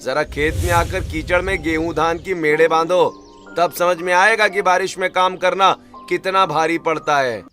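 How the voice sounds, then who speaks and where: A man's voice: a little high, fairly even intonation, normal speed, pushed and bright, faint music behind. A man voicing a cheerful young farmer brother who teases his family in a cartoon story. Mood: teasing.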